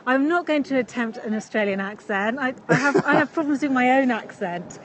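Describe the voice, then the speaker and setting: A woman's voice: high-pitched, expressive, fairly quick, slight background noise. An English woman with a chatty manner, talking casually while walking outdoors by a lake and streaming live from a phone. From England. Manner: chatty, with frequent fillers and laughs. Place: outdoors by a busy lakeside.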